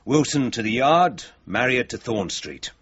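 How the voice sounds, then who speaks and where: Casting: curt, commanding British detective, husky, animated delivery, radio thriller, slightly muffled sound. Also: male voice, medium pitch, unhurried. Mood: businesslike, firm. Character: brisk, hard-edged male police officer making an arrest. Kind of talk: 1980s British radio drama acting. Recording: dull lo-fi radio drama recording.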